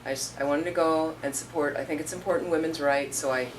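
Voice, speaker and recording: A woman with a low voice gives a relaxed teaching talk, chatting through stories and slipping into the voices of the people in them. She is heard from across the room on a distant mic, with a faint hum of room noise behind her. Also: fast talker. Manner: conversational, storytelling with acted-out quotes. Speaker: Buddhist teacher. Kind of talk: informal dharma talk.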